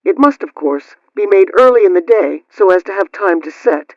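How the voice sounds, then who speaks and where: A man's voice: sits high, expressive, normal speed, dry recording. A man presenting a radio program, reading scripted household advice. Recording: dry, dull lo-fi radio sound.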